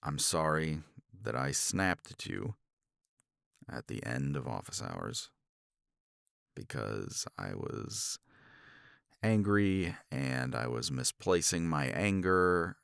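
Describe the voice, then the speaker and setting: Man acting a gravelly, low-voiced sardonic professor. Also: very animated, a bit bright, no echo, studio quality, full character voice. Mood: awkward, contrite. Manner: sardonic, halting, articulate. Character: blunt, proud male college professor, awkwardly apologetic. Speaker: voice actor in audio roleplay. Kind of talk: romance ASMR roleplay drama.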